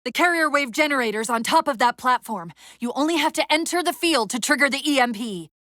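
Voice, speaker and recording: A woman voicing an urgent, clever military AI companion in a video game, wry and earnest, giving crisp tactical briefings with an undercurrent of strain. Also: high-pitched, lively intonation, a little fast, pushed and bright, dry recording, studio quality. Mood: focused briefing.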